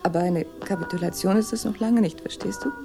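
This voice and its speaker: A woman's voice: lowish voice, brisk, creaky, over music. An actress playing a firm, wounded young woman in love in a 1960s radio crime drama, direct and resolute. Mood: resolute, hurt.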